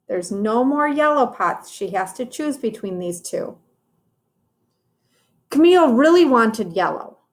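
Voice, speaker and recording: A woman reads a children's storybook aloud as a teacher would. Her voice is fairly high and she takes it very slowly, explaining things directly to young children.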